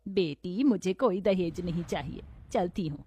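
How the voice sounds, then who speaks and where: Woman playing a quiet, dignified older matron. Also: fairly low pitch, measured pace, husky, soft background music. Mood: kindly, composed. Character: kindly, dignified older mother-in-law-to-be.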